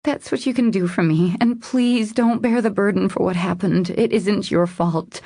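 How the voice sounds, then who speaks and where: Woman acting a tearful, breathy young woman, pleading. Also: on the high side, slow pace, darkish tone, no room sound, studio quality, voice pushed up. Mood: sad, defeated. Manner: pleading, apologetic. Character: distraught, ashamed young woman pleading to be alone.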